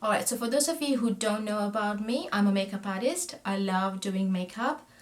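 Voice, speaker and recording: A talkative woman in her thirties from Sri Lanka speaks to a close camera in a small indoor room. Her voice sits at a middle pitch, and she chats in a friendly, rambling way while working on her makeup, often trailing off mid-sentence. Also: moderate pace. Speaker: makeup vlogger. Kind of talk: chatty makeup tutorial. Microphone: camera facing her at close range.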